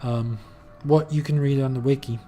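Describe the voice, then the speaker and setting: A man's voice: medium pitch, fairly even intonation, normal speed, dark tone, soft background music. A man streaming a game, talking casually and rambling, with lots of fillers and the odd swear. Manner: rambling, many fillers, loose and profane. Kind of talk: casual gameplay commentary.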